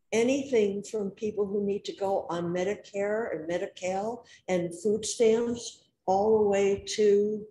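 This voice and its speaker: A woman on a senior services panel over a video call, conversational and quick to jump in. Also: medium pitch, dark tone. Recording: echoey room, faint background noise.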